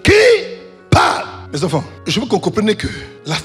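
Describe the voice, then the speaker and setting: A man pastor preaching a fiery sermon in a church, with chanted and shouted peaks, and questions he answers himself. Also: animated, normal speed, soft background music. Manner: preaching with sung, shouted crescendos then dropping low; asks the congregation questions and answers them himself. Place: church service.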